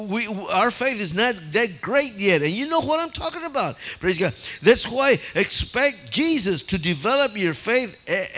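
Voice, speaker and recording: A fervent male preacher with a high-pitched voice who preaches in a rush of excitement. He drops "hallelujah" and "praise God" between almost every phrase. He stumbles over words and restarts lines as the excitement carries him. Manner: restarts and stammers when excited. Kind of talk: sermon.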